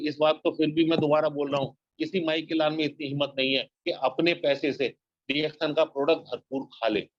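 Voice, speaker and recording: A man as an earnest motivational speaker, slightly high voice, dull dry lo-fi recording. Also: no room sound. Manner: earnest, exhorting, repeats points. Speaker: network marketing speaker. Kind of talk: motivational talk.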